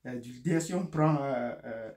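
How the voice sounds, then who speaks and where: A man's voice: medium pitch, normal speed, darkish tone. A man from Cameroon with a Cameroonian French accent, giving an explanatory monologue to his subscribers, often repeating and rephrasing his points. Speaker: video host and geopolitical commentator. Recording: echoey, roomy sound.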